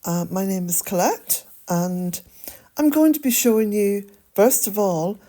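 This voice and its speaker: An Irish woman narrating a gentle garden vlog in a soft, reflective way, sometimes dropping almost to a whisper. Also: mid-range voice, animated, a little slow, dry recording, clean studio sound. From Ireland. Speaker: vlogger. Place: outdoors in a woodland garden, birds nearby.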